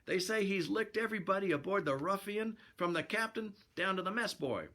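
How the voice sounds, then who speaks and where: A man voicing a gruff, excitable sailor sidekick in a storybook, with slangy, urgent talk. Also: high-pitched, a little fast, pitched above the performer's own voice, full character voice. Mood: excited. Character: excitable, gruff sailor sidekick, loyal to his boxer pal.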